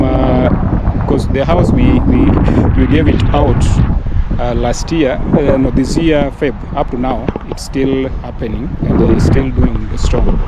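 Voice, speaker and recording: A man from Kenya casually showing a house in a vlog, talking haltingly with many fillers and restarts. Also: mid-range voice, very noisy. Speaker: vlogger touring a house. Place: outdoors at a rural house. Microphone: handheld camera.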